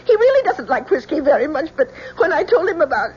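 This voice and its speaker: Fretful young fiancée, high and highly animated, emotional old radio drama, muffled recording. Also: female voice, fast. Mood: distraught. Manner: breathless, emotional, pleading. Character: anxious, pleading young bride-to-be, devoted daughter. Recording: dull old radio recording, faint noise.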